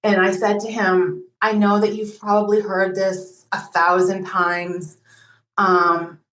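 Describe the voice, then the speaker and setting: A woman's voice: mid-range voice, little pitch movement, slow, a bit bright, echoey room, poor audio. A woman guest on a podcast, casually sharing her own experiences as a fan. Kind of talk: casual personal storytelling.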